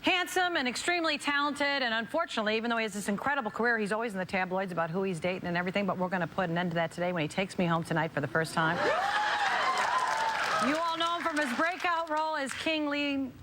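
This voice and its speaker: A woman's voice: a little high, quick pace, sharp tone, a faint crowd. A middle-aged woman from Chicago hosting a studio talk show, jokey and self-mocking, laughing often. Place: TV studio with live audience. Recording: studio audience and room noise.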